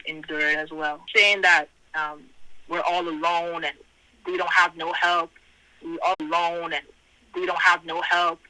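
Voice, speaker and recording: A slightly hoarse man giving an informal Bible study over a conference phone line, reading verses aloud and explaining them in hesitant, repetitive phrasing. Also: on the high side, sharp tone, no room sound.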